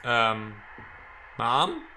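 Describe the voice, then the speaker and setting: A male let's player with a bright, forceful voice at an average pitch. He reads visual novel text aloud and drops in playful, wry asides. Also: huge pitch swings, extremely slow, a little hiss or hum.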